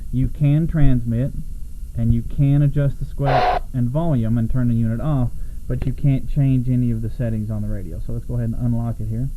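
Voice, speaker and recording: A man's voice: mid-range voice, fairly even intonation, fairly quick. An American man giving a casual, unscripted product overview in a rambling, self-correcting way. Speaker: product reviewer. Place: small home room, at a desk. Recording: muffled lo-fi camera audio, background noise.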